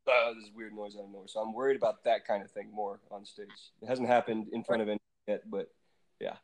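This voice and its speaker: A man in his twenties, a band singer with a low voice that sometimes cracks, chatting loosely and laughing often in a remote interview. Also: fast talker, rough recording. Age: 20s. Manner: relaxed, rambling, laughs often.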